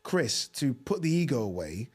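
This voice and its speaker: Male podcast host, 30s, slightly husky, animated studio talk. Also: medium pitch, moderate pace. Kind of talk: casual boxing chat. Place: studio set with dark curtains. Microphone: desk mic on boom arm.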